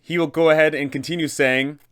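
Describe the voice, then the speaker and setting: A man's voice: fairly high pitch, fairly even intonation, a little fast, fairly bright tone, smooth. A man hosting a tabletop game guide, narrating advice for game masters in a casual, emphatic way. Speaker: host, game master advising other game masters.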